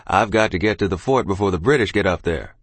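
A man voicing a stubborn, calm rural father in an audiobook, firm and commanding. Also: sits low, quick pace, dry recording, voice pushed down. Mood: urgent, firm. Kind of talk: audiobook character dialogue.

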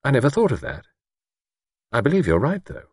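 A man reading an audiobook voices a calm young male investigator in a relaxed, dry manner. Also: medium pitch, a little fast, slightly rough, no room sound, voice pushed up, exaggerated voice. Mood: thoughtful.

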